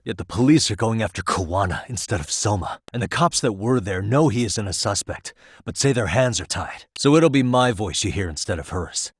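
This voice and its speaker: A man's voice: low-pitched, breathy edge, dry recording. A man playing a determined, streetwise detective in a video game, dry and probing in his terse delivery. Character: determined, streetwise male detective, dogged and wry. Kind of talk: scripted video game dialogue.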